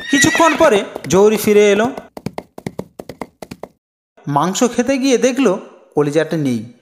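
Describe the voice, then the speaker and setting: A woman's voice: medium pitch, big pitch swings, measured pace, a little hiss or hum. A woman narrating a scripted folk tale as a storyteller for a cartoon. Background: music.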